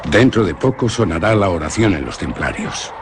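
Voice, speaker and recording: An actor playing a young nobleman who gravely tells an old legend to his cousin. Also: low-pitched, sing-song, background noise. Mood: earnest. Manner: grave, measured storytelling. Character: young nobleman Alonso, earnest storyteller. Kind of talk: radio drama acting.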